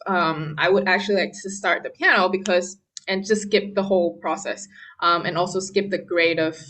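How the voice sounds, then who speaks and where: A woman's voice: on the low side, steady tone, normal speed, fairly bright tone. A young woman from Malaysia, a pianist, chatting casually in an interview with plenty of fillers and laughs. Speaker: guest pianist.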